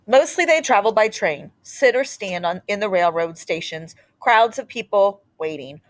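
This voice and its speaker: A woman storyteller reading a picture book aloud expressively, in short deliberate phrases with dramatic pauses and the odd aside. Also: mid-range voice, lively intonation.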